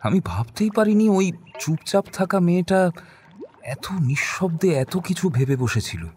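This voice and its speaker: A man playing a deferential, earnest police junior in an audio drama, with a husky voice and a respectful, easily astonished manner. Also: high voice, animated, unhurried, a little mellow. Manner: respectful, stammers when surprised. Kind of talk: Bengali audio drama acting.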